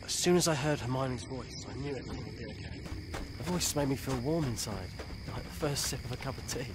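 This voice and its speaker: A man's voice: mid-range voice, a little fast, background noise, lightly acted. A man voicing a loyal, blunt British teenage wizard boy in a video game, speaking earnestly and colloquially. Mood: remorseful, earnest. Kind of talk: video game dialogue acting.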